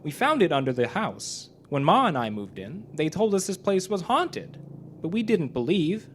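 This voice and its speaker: A man's voice: fairly high pitch, very animated, moderate pace, voice pushed up. A man voicing an earnest, pleading young country girl with folksy rural phrasing, in a live story reading. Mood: earnest, uneasy. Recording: noisy live club recording.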